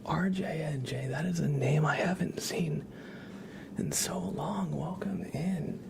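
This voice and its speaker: A man hosting an ASMR livestream, speaking in a hushed, breathy whisper as he chats with viewers. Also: on the high side, takes it slowly, a crowd in the background. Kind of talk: ASMR, live chat with viewers.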